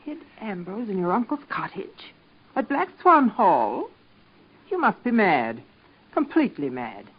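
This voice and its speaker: A sharp, imperious matriarch of middle age in an old radio soap. Her voice is husky, and she scolds in clipped phrases. The recording is dull and aged. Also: medium pitch, very animated, measured pace. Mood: anxious, alarmed. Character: worried older English village matriarch, sharp and haughty. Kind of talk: 1940s radio soap acting.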